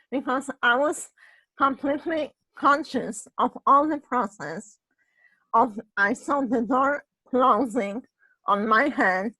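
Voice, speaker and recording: A woman from Poland with a Polish accent and a husky voice, telling a personal story in casual chat, halting and restarting her phrases and often adding "right?". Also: a little high, pushed and bright, no room sound, low-quality recording. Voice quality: husky voice, ongoing voice problem.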